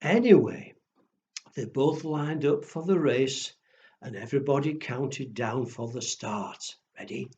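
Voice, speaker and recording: A man telling stories to pre-school children in a simple, deliberate way, often putting questions to his young listeners. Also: mid-range voice, darkish tone, dull audio. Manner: slow, deliberate, addressing small children with questions. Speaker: children's storyteller.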